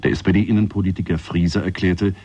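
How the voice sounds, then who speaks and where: A man's voice: low voice, fairly even intonation, normal speed, warm tone, breathy edge. A man reading a formal, scripted radio news bulletin. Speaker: radio newsreader.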